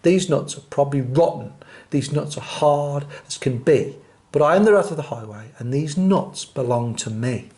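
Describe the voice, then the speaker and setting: A man voicing a greedy, bullying rat highwayman from a storybook, with booming, imperious and scornful demands. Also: moderate pace, dark tone, close to an everyday voice. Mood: thundering, snarling.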